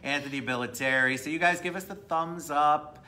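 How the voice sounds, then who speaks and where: A man's voice: on the high side, normal speed, pushed and bright, a little hiss or hum. A man hosting a casual live-stream interview show, upbeat and chatty, greeting viewers by name.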